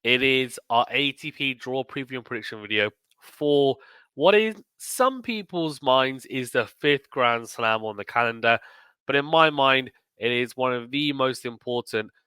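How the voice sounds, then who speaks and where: A male sports host with a bright, forward voice and a close, dry sound. He talks in a chatty, emphatic way, thinking aloud through his commentary, with a slight croak from a recent illness. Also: mid-range voice, no room sound. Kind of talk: casual tournament preview commentary.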